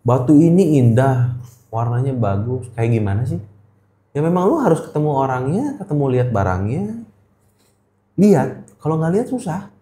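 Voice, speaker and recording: A man's voice: mid-range voice, very animated, moderate pace, pauses often, warm tone. A middle-aged man with a Jakarta accent, chatting casually as an interview guest, often repeating words for emphasis. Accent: Jakarta Indonesian. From Indonesia. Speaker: guest, gemstone trader. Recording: echoey room.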